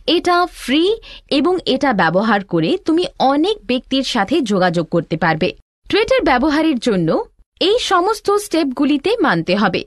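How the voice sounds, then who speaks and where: A woman's voice: on the high side, no room sound, clean studio sound. A woman playing an elder sister who patiently gives step-by-step scripted instruction in an educational cartoon. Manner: didactic, step-by-step. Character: elder sister patiently teaching her younger brother.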